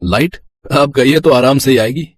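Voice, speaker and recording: A man's voice: mid-range voice, normal speed, no room sound, studio quality, lightly acted. A man playing an easygoing young husband in a cartoon moral story, casual, reassuring and teasing. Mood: unbothered.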